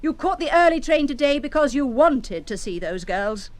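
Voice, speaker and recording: A woman's voice: high voice, sing-song, moderate pace. An actress with a British accent playing a suspicious, anxious wife in a radio drama, insistent and precise in her questioning. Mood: accusing.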